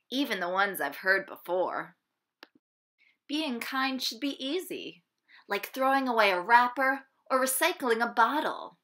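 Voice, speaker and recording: Lively, bright-voiced woman reading a picture book. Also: fairly high pitch. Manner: animated, deliberate phrasing for young listeners. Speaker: storybook reader. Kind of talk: expressive children's picture-book read-aloud.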